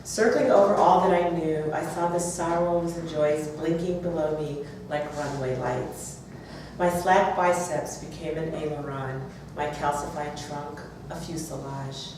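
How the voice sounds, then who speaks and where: A woman's voice: sits low, fairly even intonation, unhurried, hardly any pauses. A woman author speaking and reading aloud at a live event. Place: bookstore, audience event. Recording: roomy, distant, noisy live event recording.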